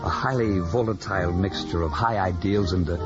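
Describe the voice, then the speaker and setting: An actor playing an amiable, smitten young gentleman in a period radio drama, courtly and effusive. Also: low voice, brisk, natural voice. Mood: courtly, cheerful. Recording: dull radio recording with music behind.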